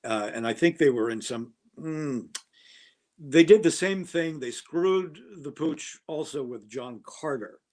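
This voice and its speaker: A man's voice: on the high side, unhurried, a little mellow. A man talking as a guest in a casual podcast chat, rambling and enthusiastic, with many fillers and restarts. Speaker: guest actor.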